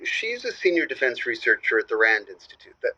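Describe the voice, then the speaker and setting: A halting, nervous caller. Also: male voice, mid-range voice, sing-song, sharp tone, no echo, full character voice. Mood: anxious. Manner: hesitant, halting. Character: nervous young man torn between mom and girlfriend. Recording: muffled phone-line caller sound.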